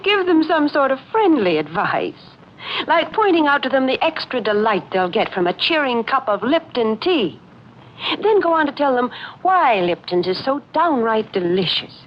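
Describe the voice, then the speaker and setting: Chirpy radio ad lady from the 40s, highish swooping voice, muffled old broadcast. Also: slight background noise. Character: cheery, prim woman pitching tea to the host. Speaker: sponsor spokeswoman. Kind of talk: 1940s radio advertising banter.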